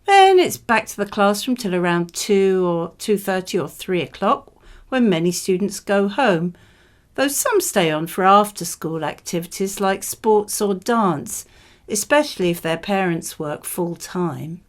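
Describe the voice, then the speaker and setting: A woman, a native English speaker, reading model speaking-exam answers in a conversational, measured and explanatory way. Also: medium pitch, animated.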